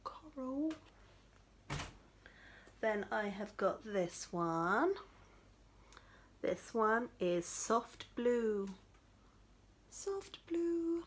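A woman's voice: on the high side, very animated, drawn-out words, pauses often, slightly rough. A woman, tired and chatty, showing off yarn from a small craft room at home. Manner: chatty, rambling, with many fillers. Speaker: crochet vlogger. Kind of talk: casual chat, showing yarn.

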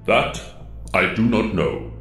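A man plays a grave mentor to superheroes in an audio drama. His formal, solemn lines swing in pitch and echo over faint music. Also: animated, takes it slowly, a bit bright. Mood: grave, firm. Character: calm, grave male mentor commanding superhero rangers.

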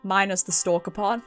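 A woman's voice: medium pitch, a bit bright. A British woman doing casual, chatty game stream commentary, swearing freely and reacting aloud as she plays.

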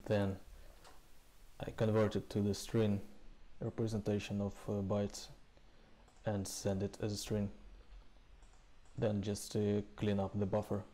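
Flat, mellow man explaining, halting phrases. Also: lowish voice. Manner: halting, hesitant delivery in short phrases. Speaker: programming tutorial presenter. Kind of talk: casual screencast code walkthrough. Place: indoors, voice-over recorded with screen capture.

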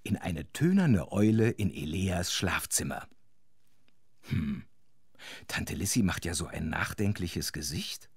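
A man's voice: low voice, expressive, takes it slowly, breathy edge, dry recording, studio quality, full character voice. A man playing a chatty, sympathetic storyteller, narrating a children's audio drama with wry, conversational asides. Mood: wry curiosity.

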